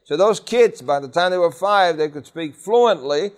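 New man teaching a Bible class; slightly high, emphatic, quizzes the class. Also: animated, normal speed. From New York City. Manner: conversational, asks the class questions, emphatic repetition.

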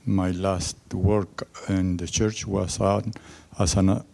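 An older man from Chile, speaking on a church panel in a measured way. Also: low-pitched, little pitch movement, gravelly, mellow. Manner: slow and measured, with small restarts. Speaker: retired church administrator, panelist.

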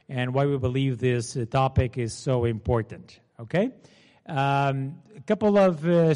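A man's voice: a little high, a little mellow. A man in his forties with a Portuguese accent, giving an informal seminar talk and often asking the audience to confirm. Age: 40s. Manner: chatty, asks the audience to confirm. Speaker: seminar presenter. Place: seminar room. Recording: long hall reverb.

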